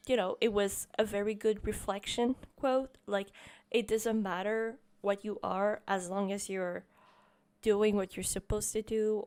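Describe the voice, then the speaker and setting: French Canadian woman narrating over music. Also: mid-range voice. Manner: relaxed, rambling, many ums and uhs. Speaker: journaling YouTuber. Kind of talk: voice-over narration of a drawing session. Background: background music.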